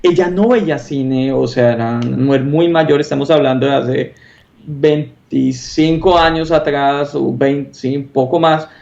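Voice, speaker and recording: A man's voice: mid-range voice, unhurried, vocal fry, darkish tone, lo-fi sound. A Colombian man in his thirties speaking casually and enthusiastically as an interview guest, emphatic and often tagging phrases with a short questioning check. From Popayán, southern Colombia. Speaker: interview guest, concept artist.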